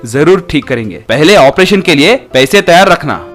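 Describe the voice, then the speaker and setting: A man's voice: fairly high pitch, animated, moderate pace, a bit bright, soft background music. A man voicing a grave, businesslike doctor with a serious, matter-of-fact manner in a storybook tale.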